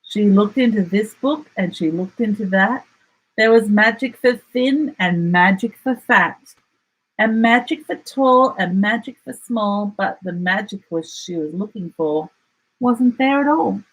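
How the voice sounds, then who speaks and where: A woman with an Australian accent reads a children's picture book aloud, expressively and with deliberate dramatic emphasis. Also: medium pitch, slightly rough, rough recording. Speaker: storyteller.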